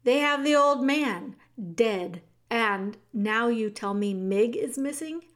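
A woman voicing a tall, brusque, scornful castle servant in a storybook, loud and emphatic. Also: a little high, lively intonation, slow.

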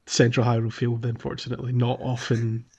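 A man's voice: medium pitch, completely flat delivery, a little fast, warm tone, husky, lo-fi sound. A man hosting a podcast and chatting casually about a video game. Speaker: podcast host. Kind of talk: casual gaming podcast chat.

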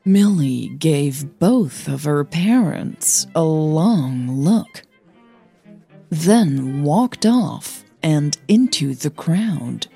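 A woman's voice: deep voice, animated, very slow, creaky, warm tone, husky, faint music behind. A woman narrating scripted audio fiction as the podcast's storyteller.

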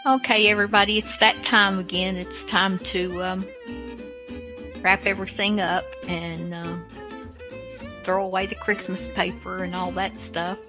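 A woman's voice: mid-range voice, level tone, a little slow. A woman hosting a radio show in a casual, informal, slightly hesitant way. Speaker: show host. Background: plucked guitar music.

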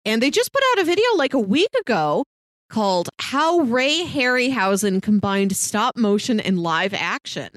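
A woman's voice: a little high, sing-song, normal speed, dry recording, studio quality. A woman co-hosting a film podcast, talking casually and descriptively in a thoughtful way. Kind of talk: casual movie discussion.

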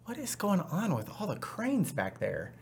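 A man's voice: sits high, wildly swooping pitch, a little slow, creaky, slightly rough. Guy hosting a business podcast, chatty, upbeat, goes off on tangents. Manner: upbeat, chatty, rambling asides. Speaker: podcast host. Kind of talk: casual podcast chat.

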